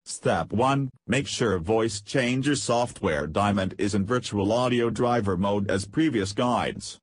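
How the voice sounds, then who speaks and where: A man reading a scripted, step-by-step software tutorial as a voice-over narrator. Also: fairly low pitch, a little slow, slightly rough, no echo.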